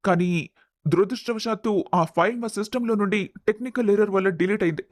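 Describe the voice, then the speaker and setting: A man's voice: sits high, a little fast, no room sound, studio quality, close to an everyday voice. A man playing a stern young company boss in a dramatised family story. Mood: apologetic. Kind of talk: dramatised audio story acting.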